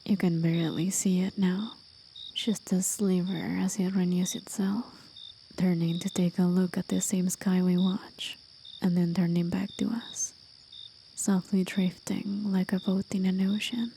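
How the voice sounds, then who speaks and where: A woman voicing a gentle, musing demon guide in a soft, breathy whisper for an ASMR roleplay. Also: on the low side, flat delivery, a little hiss or hum. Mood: gentle, reflective. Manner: slow, contemplative, soothing.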